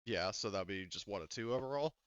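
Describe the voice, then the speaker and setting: Male game master, smooth mid-pitched voice, casually profane rules talk, slightly dull close recording. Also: lively intonation, fast, no echo.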